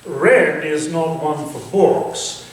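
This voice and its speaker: A man playing an urbane, theatrical Victorian gentleman with cultivated, deliberate phrasing in a staged reading. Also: a little high. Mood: enthralled. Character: Sir Walter, urbane Victorian gentleman, theatrical, eagerly intrigued. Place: echoey room or small theatre, staged reading. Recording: very echoey, distant stage recording, faint noise.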